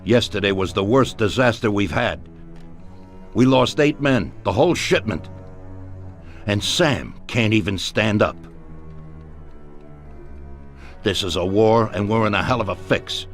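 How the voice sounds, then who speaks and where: Middle-aged crime boss, played by a male actor: low voice, deliberate and commanding, game drama with music underneath. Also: close to an everyday voice. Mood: grim, serious. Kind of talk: dramatic video game cutscene acting. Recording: music behind the voice.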